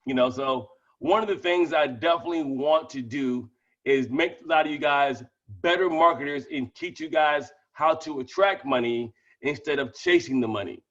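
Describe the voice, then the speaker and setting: A man with a small lisp and a stutter, talking excitedly and fast as he pitches a business opportunity to people on a group call. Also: dull audio. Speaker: presenter of a network-marketing training call.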